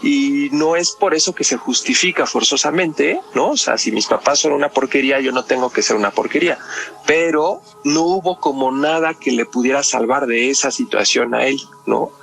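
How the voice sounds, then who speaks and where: A man's voice: mid-range voice, moderate pace, creaky, a bit bright, soft background music. A man with a Mexican accent talking analytically in a podcast discussion, explaining things and often checking with tag questions.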